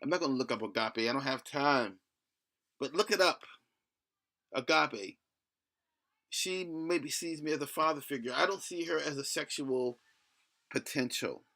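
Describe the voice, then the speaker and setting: A middle-aged man with a lisp talks in a bright, forceful voice edged with gravelly creak. He rambles through a blunt video-blog rant, digressing, cursing and restarting his thoughts. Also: a little high, a little slow, pauses often. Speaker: solo video blogger. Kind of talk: rambling opinion rant to camera.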